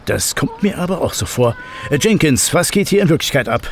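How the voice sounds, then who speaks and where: An actor playing a courteous, excitable professor and technology expert in a German audio drama. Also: male voice, on the high side, fairly quick, slight background noise. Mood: puzzled.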